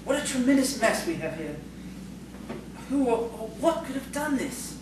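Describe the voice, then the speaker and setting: A man's voice: very high-pitched, very animated, normal speed. A man acting in audio theatre as a timid, fussy, superstitious village schoolmaster, prim and hesitant. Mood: fretful, bewildered. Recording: very echoey, distant, noisy stage recording.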